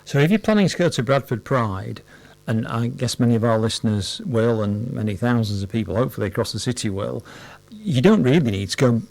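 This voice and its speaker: A man's voice: mid-range voice, expressive, a little fast, vocal fry, a little mellow, low-quality recording. A man in his sixties hosting a casual radio interview, jokey and rambling, a little hesitant. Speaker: radio host.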